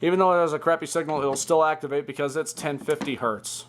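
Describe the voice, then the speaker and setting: A man's voice: fairly high pitch, fairly even intonation, fast talker. A man casually showing off a hobby collection in an offhand, unscripted vlog style. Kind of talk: casual show-and-tell vlog. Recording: loud background noise, clicks.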